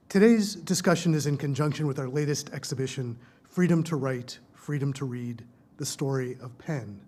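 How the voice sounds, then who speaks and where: A man hosting a library event, reading formal introductions of the speakers in a measured, prepared way. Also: mid-range voice, a little mellow. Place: lecture hall at a research library.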